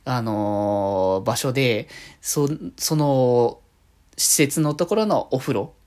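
A man's voice: medium pitch, takes it slowly, a little mellow. A man hosting a solo podcast in a casual, chatty, rambling way, often drifting into little asides. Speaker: podcast host. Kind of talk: casual solo radio-style talk.

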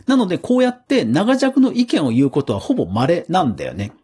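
A man hosting a podcast, giving casual solo commentary in an explanatory way, with emphatic phrasing and deliberate pauses. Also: fairly high pitch, very animated, mellow, slightly rough. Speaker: podcast host.

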